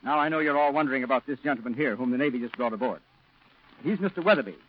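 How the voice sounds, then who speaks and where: An actor playing a sober, formal Marine colonel who speaks decisively in a 1940s radio drama. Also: male voice, mid-range voice, lively intonation, very fast, slightly rough. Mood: formal briefing. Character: authoritative, sober Marine colonel briefing his officers. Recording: muffled old radio broadcast recording.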